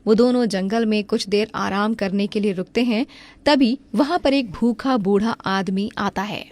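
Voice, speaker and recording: A woman's voice: medium pitch, unhurried, a little mellow. A woman reading scripted narration for a cartoon story.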